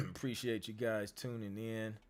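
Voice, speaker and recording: A man who is losing his voice, hoarse and clearing his throat, hosting a casual live Q&A as a music producer and chatting in a laid-back, slangy way. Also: fairly low pitch, fairly even intonation, a little slow. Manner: laid-back, slangy, reads out viewer comments. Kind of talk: casual livestream chat.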